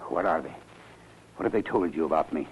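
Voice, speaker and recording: A man's voice: very deep voice, brisk, slight background noise. An actor playing an indignant, frightened and deceitful man in a 1940s radio drama, blustering and stammering. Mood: frightened, pleading. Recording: dull old-time radio recording.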